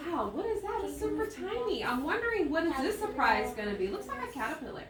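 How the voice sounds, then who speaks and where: A woman teaching children through an interactive read-aloud, thinking out loud and checking in with her listeners. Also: high-pitched, sing-song, fast, a crowd in the background. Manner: thinking aloud with musing hums and exclamations. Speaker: elementary school teacher. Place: classroom with echo. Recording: roomy, distant mic, faint noise.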